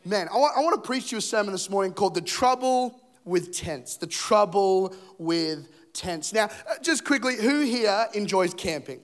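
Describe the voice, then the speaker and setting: Young Aussie-accented male preacher, high voice, playful and self-mocking, a little rough in the throat. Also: lively intonation, moderate pace, few pauses. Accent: Australian. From England. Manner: jokey, self-deprecating, acts out voices. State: voice a bit rough. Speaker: pastor. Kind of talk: humorous sermon. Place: church auditorium.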